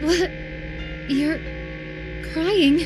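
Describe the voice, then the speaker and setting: A woman's voice: very high voice, fairly even intonation, very slow, over music, heavily acted, poor audio. A woman voice-acting an unhinged, obsessive lover in a horror visual novel, intense and volatile, swinging between tenderness and menacing fury. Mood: scornful. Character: unhinged, obsessive lover convinced an impostor replaced their beloved.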